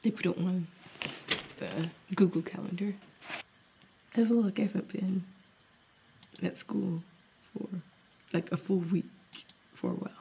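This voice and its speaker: Young woman, vlogger, congested, husky, gravelly, mellow, rambling. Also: fairly low pitch, steady tone, measured pace, pauses often, a little hiss or hum, poor audio. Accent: Southern US. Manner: rambling, thinking aloud, drops to near-whisper. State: congested with a cold. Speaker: special education teacher and vlogger. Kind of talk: casual vlog talk to camera.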